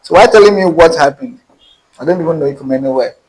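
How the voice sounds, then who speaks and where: Husky Nigerian man telling a story. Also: on the high side, fast talker, low-quality recording. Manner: animated, acts out quoted dialogue. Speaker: interview guest. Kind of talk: casual storytelling.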